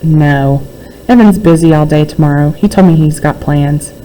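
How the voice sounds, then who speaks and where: A woman's voice: deep voice, normal speed, warm tone, a little hiss or hum, lightly acted, rough recording. A woman voicing a determined, competitive young schoolgirl in a storybook, emphatic and short in her replies. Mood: guarded.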